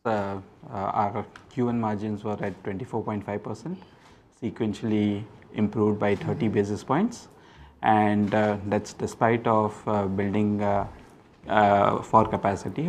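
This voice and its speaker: A low-pitched male executive with an Indian accent explains financial figures in a flat, hesitant delivery. Faint background noise sits behind him. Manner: hesitant, halting. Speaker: company executive.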